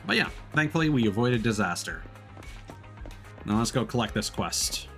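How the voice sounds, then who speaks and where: A man chatting casually while live streaming a game, explaining things in a rambling, halting way. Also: mid-range voice, sing-song, fairly bright tone, soft background music. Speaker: live streamer playing games. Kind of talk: casual chat while gaming.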